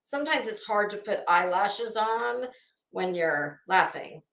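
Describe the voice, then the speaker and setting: A woman's voice: mid-range voice, lively intonation, measured pace, vocal fry, a bit bright. A middle-aged American woman doing casual livestream commentary in a blunt, opinionated, emphatic way, swearing freely. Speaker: YouTube commentary host. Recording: roomy, echoey room sound.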